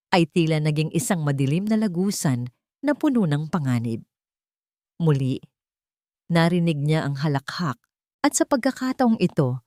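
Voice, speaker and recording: A woman narrating a scripted story in a measured, dramatic storytelling manner. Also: lowish voice, a little mellow, no echo, clean studio sound.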